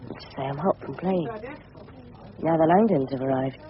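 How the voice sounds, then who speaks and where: A man's voice: a little high, normal speed, crowd noise, natural voice. An anxious, well-mannered English schoolboy, played by a man, in a muffled old radio play. Busy sound sits behind the voice. He can turn prickly while staying polite. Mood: musing. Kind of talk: radio drama acting.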